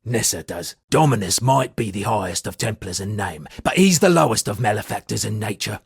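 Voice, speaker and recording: A shipwrecked old-world captain who fancies himself a bard in a video game. His voice is rough with heavy creak and husk, recorded close and dry. He delivers florid, pompous lines with dramatic flourish. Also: fairly low pitch, expressive, brisk, no echo, exaggerated voice. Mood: earnest. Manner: florid, dramatic, alliterative declaiming. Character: eccentric male shipwrecked sea captain and pompous amateur poet. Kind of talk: theatrical video game character dialogue.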